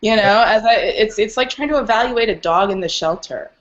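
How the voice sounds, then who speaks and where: A woman's voice: medium pitch, a little fast, muffled recording. A woman speaking as a dog rescuer and podcast guest, telling stories casually and earnestly. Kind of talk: casual interview storytelling.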